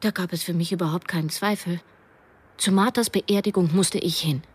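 An actress playing a reflective, wistful narrator who tells her story in measured, literary radio drama style. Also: on the low side, steady tone, background noise, lightly acted. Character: reflective woman narrator recalling events, wistful.

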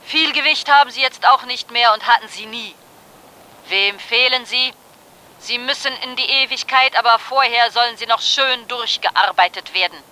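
A woman's voice: on the high side, normal speed, pushed and bright, a little hiss or hum, poor audio. A woman in a radio drama playing a grim chronicler of the defeated and dead, declarative and solemn with bitter irony. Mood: grim, ironic. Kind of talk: literary radio drama recitation.

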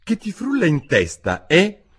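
Man doing a touchy, indignant storybook mouse, swooping pitch. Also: huge pitch swings, unhurried, slightly rough, full character voice. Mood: severe. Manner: sighing, severe, easily offended. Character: touchy, aggrieved male mouse, sighing and indignant. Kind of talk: children's storybook character voice.

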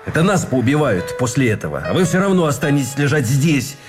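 An actor playing a cynical, fatalistic gambler officer in a radio drama, mocking and theatrical, with a husky voice. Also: male voice, medium pitch, big pitch swings, fairly quick, close to an everyday voice. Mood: scornful. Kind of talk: Russian radio drama acting. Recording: faint music behind the voice.

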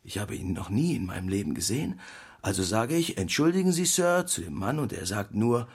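Animated, husky storytelling husband. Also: male voice, fairly low pitch, brisk, exaggerated voice. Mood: animated, amazed. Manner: theatrical anecdote telling with quoted dialogue. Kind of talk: radio play storytelling.

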